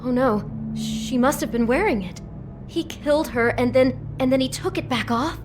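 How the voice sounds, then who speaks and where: An actress playing an anxious young woman in a video game, talking in an expressive, worried way with stammering restarts. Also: high voice, normal speed, background noise, natural voice. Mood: uneasy. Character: anxious young woman, kind, easily unsettled. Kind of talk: video game cutscene acting. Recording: game audio with music behind.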